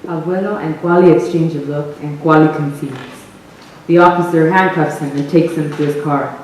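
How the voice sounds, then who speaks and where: A man's voice: on the high side, steady tone, moderate pace. A man reading stage directions as the narrator of a staged play reading. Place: theater stage, recorded from the audience. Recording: echoey hall from far off, faint noise.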